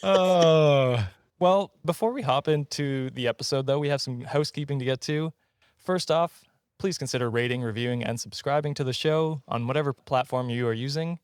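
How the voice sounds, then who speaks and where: A man hosting a casual comedy podcast in a jokey, slightly halting way. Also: medium pitch, clear, resonant tone, no room sound, clean studio sound. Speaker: podcast host.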